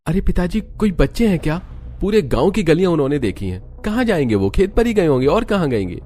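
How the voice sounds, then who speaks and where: A man's voice: on the high side, sing-song, brisk, mellow, soft background music, voice pushed up. Man acting irritable husband, raised voice. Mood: irritated, scolding. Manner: curt, scolding. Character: irritable, dismissive young husband in a village cartoon.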